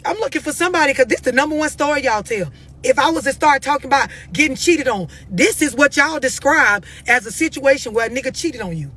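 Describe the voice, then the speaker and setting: A woman's voice: high voice, lively intonation, fast talker, fairly bright tone. An American woman giving blunt, unscripted relationship advice on a live stream, confrontational and emphatic, pressing her questions insistently. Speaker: host of a live stream talking to followers.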